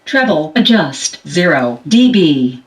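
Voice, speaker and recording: A woman's voice: sits low, very animated, takes it slowly. A synthetic woman's voice gives terse, mechanical menu announcements as an automated prompt. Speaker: automated voice prompt of a car radio. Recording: echoey device speaker from far off.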